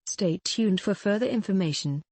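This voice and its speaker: A woman's voice: mid-range voice, moderate pace, loud music behind the voice, natural voice. A woman playing a sober television announcer, reading a scripted emergency broadcast in a formal manner.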